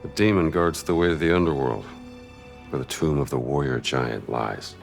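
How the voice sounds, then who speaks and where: A gruff American adventurer speaks in a deep voice with wry determination. It is cinematic game acting, with music running behind the lines. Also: male voice, normal speed. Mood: intent, focused.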